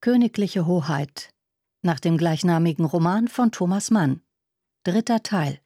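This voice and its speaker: A woman's voice: lowish voice, lively intonation, a little slow, dark tone, dry recording. A woman radio announcer reading out programme credits in a formal style.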